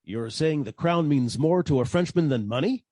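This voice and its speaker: A man acts out a wry radio private eye, unflappable and quick with a crack. His husky, slightly high voice moves with lively intonation as he questions and narrates. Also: no room sound, clean studio sound. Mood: inquiring. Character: wisecracking, unflappable male private detective. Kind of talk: old-time radio detective drama acting and narration.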